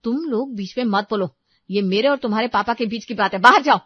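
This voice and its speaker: A woman voicing a sharp, domineering wife and mother in a family storybook tale, bossy and scolding. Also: mid-range voice, lively intonation, fairly quick, dry recording, highs cut off, lightly acted. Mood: angry, jealous. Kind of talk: dramatized storybook narration.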